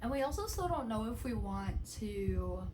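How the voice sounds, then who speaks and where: Soft-spoken young woman musing aloud. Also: fairly high pitch, very animated, normal speed, noisy background. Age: 20s. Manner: soft-spoken, thoughtful, with many restarts and "like". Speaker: vlogger. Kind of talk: casual reflective chat to camera. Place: home.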